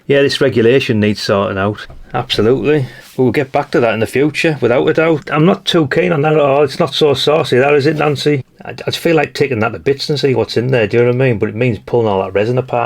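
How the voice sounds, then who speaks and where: A British man with a gravelly voice giving a casual, rambling hands-on commentary as he thinks aloud. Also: mid-range voice. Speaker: hobbyist radio channel host.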